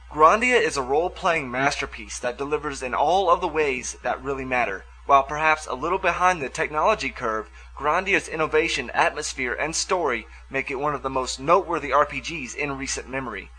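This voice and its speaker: A man's voice: fairly high pitch, sharp tone, rough recording. A man hosting a review show, reading scripted commentary on video games with music behind him.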